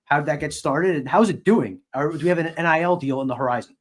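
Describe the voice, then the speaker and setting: Fast-talking man hosting a sports podcast. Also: a little high. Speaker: podcast host, interviewer. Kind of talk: casual sports podcast interview.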